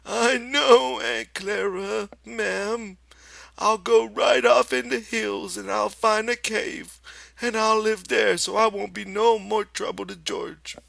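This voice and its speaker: A man voicing a simple-minded giant farmhand who pleads in a high, strained wail with a rural drawl. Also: fairly even intonation, takes it slowly, gravelly, fairly bright tone, no echo, exaggerated voice. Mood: grieving.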